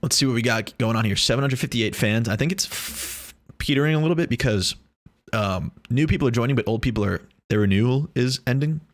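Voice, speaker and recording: A man's voice: medium pitch, brisk, no room sound. A man, rambling and joking in a casual vlog monologue, boastful and swearing freely. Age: 20s. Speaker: vlogger. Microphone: lav or shotgun mic.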